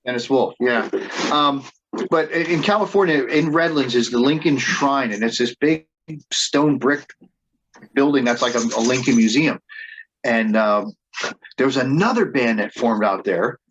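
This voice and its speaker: A man's voice: mid-range voice, normal speed, a bit bright, a little hiss or hum. Rambling man with a dull-sounding mic. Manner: rambling, frequent restarts and repeated words. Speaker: band leader and reenactor being interviewed. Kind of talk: casual interview storytelling.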